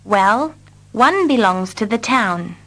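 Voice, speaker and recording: A woman repeating short English dialogue lines slowly and carefully for learners. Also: mid-range voice, lively intonation, fairly bright tone. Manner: carefully repeats each line. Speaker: English teacher. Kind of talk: slow scripted reading for learners.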